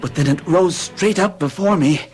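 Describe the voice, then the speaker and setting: A man's voice: on the high side, normal speed, a little mellow, faint music behind, natural voice. An actor narrating and playing an earnest, alarmed man in an old radio drama. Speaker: narrator and protagonist. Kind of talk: 1970s radio drama acting.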